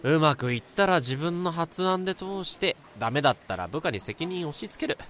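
An actor playing an excitable, gossipy and anxious junior office worker in a Japanese radio drama. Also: male voice, a little high, a little fast, a little hiss or hum. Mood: indignant, gossipy.

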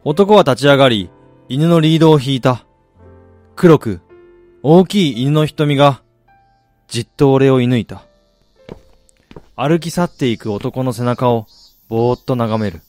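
A man acts out a broken father in a radio play, with a medium-pitched voice. He sounds heavy and inward-looking, weighed down by regret, while faint music plays behind him. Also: lively intonation, a little mellow, no echo, natural voice. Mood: somber, dazed. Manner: halting, heavy, introspective. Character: remorseful, broken middle-aged father narrating his story. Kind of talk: radio drama narration and acting.

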